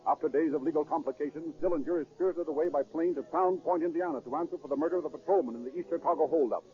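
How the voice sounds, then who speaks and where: A man's voice: fairly high pitch, rapid-fire, phone-quality sound. Formal vintage radio crime narrator, American man. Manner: formal, dramatic. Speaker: narrator of a police crime drama. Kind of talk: 1930s radio scripted narration. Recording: thin 1930s radio recording with music.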